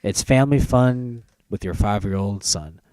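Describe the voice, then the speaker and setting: Low, mellow man in casual banter. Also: moderate pace, clean tone, dry recording. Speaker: podcast host. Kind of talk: casual banter about films.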